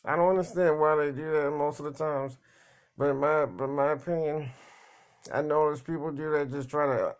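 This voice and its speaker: A man talking in a casual, rambling monologue, halting and repeating words, blunt and plain-spoken. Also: a little high, fairly even intonation. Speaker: vlogger.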